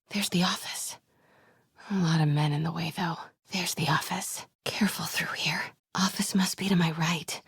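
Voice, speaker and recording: A woman voicing a plucky, curious young American reporter in a video game, with a breathy voice and a natural, wry delivery. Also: lowish voice, dry recording, studio quality, exaggerated voice. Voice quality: breathy, often hushed.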